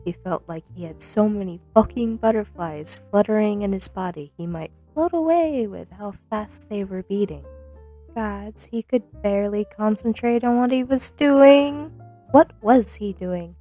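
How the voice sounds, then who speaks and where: A woman's voice: mid-range voice, lively intonation, unhurried, mellow, faint music behind. A woman speaking informally and expressively as an amateur narrator of her own fan fiction. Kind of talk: informal intro and expressive storybook-style reading.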